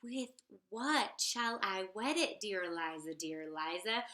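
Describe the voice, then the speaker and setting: A woman reading a children's picture book aloud in an expressive, theatrical sing-song way. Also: medium pitch, measured pace. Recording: roomy, echoey sound.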